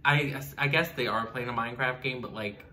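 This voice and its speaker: Bright, halting young man. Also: medium pitch, a little hiss or hum. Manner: halting, heavy use of "like". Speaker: reaction video co-host. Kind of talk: casual review chat. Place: small room indoors.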